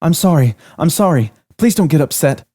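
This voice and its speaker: A man voicing a panicked young waitress who is trapped on a restaurant floor and shouting in a high voice. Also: lively intonation, moderate pace, breathy edge. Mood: pleading. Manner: frantic high-pitched shouting.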